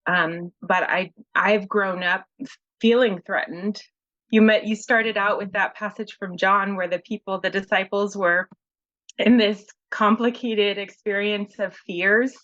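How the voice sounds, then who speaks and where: A woman's voice: mid-range voice, steady tone, unhurried, a bit bright, highs cut off. A woman speaking hesitantly and reflectively, searching for words over a video call. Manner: searching, hesitant, many fillers. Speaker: conversation participant. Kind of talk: personal reflective talk.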